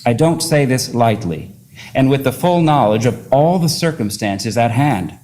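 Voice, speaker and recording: A man, a pastor, reading a formal character statement to a court in a measured, earnest way. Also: mid-range voice, lively intonation, a little mellow.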